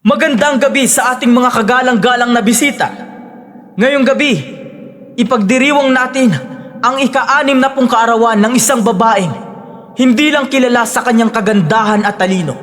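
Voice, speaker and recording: A man's voice: very high voice, a bit bright. A man playing a formal male character in a dramatized audio story. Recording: long hall reverb.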